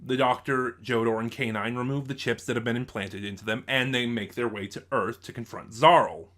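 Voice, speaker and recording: Guy doing a casual review, kinda rambly, keeps correcting himself and going off on asides, mid pitch. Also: steady tone, fairly bright tone. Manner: halting, digressive, with self-corrections and asides. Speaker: audio drama reviewer. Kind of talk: casual review with story recap.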